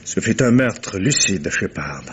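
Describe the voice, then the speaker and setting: A tormented, proud gentleman in an old French radio mystery. His low voice is solemn and declamatory, full of theatrical anguish, with faint noise from the vintage recording. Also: lively intonation, unhurried. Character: anguished, stubborn wealthy gentleman confiding a dark secret.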